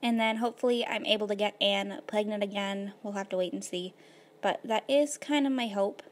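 Woman a bit hoarse, chatting laid-back thru a sim game, lots of ums and likes, mid pitch. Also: fairly quick. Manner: rambling, filler-heavy, laid-back. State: slightly hoarse, losing her voice. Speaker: gaming video host. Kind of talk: casual gameplay commentary.

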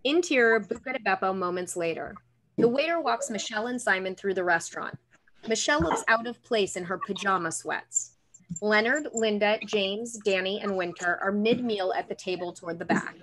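A woman's voice: mid-range voice, lively intonation, moderate pace, a bit bright, rough recording. Woman reading screenplay directions. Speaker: stage directions reader. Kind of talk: scripted screenplay narration. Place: room at home, indoors. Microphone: computer mic on video call.

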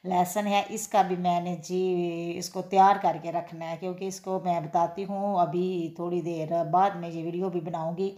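Woman home vlogger, lowish voice, chatty running commentary, roomy echo. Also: flat delivery, moderate pace, few pauses, warm tone. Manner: chatty, repeats filler phrases, addresses viewers directly. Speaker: cooking and daily-life vlogger. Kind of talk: casual home vlog narration. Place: home kitchen, then outdoor street fair. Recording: echoey room sound.

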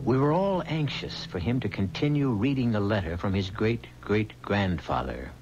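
An actor narrating eerie tales as host of a radio mystery, grave and measured. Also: male voice, lowish voice, lively intonation, a little hiss or hum, natural voice. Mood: grave, musing. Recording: muffled old radio recording. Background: loud music.

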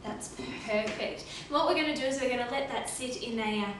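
A woman's voice: a little high, a little fast, background noise. A woman in her thirties hosting a mock cooking show in a home kitchen, talking in a chirpy, overly careful demonstrating tone. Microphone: camera mic from across the room. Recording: echoey kitchen, voice from a distance.

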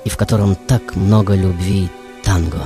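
A man playing a passionate aging tango composer in a poetic, theatrical radio monologue with dramatic pauses. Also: sits low, steady tone. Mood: wistful, poetic. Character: passionate aging male tango composer looking back on his life. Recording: loud music behind the voice.

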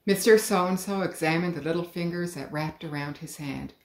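A middle-aged woman, an author, reading her children's book aloud at home in a calm, clear storytelling manner. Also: on the low side, moderate pace. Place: living room at home. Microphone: webcam, head and shoulders. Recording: roomy living room.

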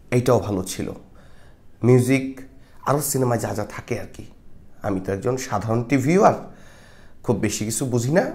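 A middle-aged man from Bangladesh giving a casual film review to camera on a lapel mic, reflective and moralizing, often pausing with filler sounds. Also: medium pitch, a little mellow. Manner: reflective, moralizing, frequent hesitations. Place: small indoor studio with patterned backdrop.

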